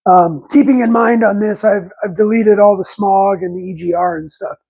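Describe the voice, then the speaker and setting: A raspy man in his forties with a head cold, rambling folksy and correcting himself while talking through engine work in a garage on a lapel mic. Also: high-pitched, normal speed, dark tone, rough recording. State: congested with a cold. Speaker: channel host. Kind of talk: casual workshop vlog. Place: home garage workshop, indoors.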